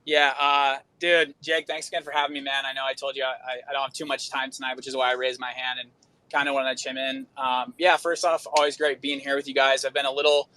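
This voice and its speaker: A man's voice: medium pitch, level tone, fast, pushed and bright. A man talking casually as a guest musician, in an informal, rambling personal monologue full of slangy filler phrases. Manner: informal, rambling, frequent "dude" and "you know".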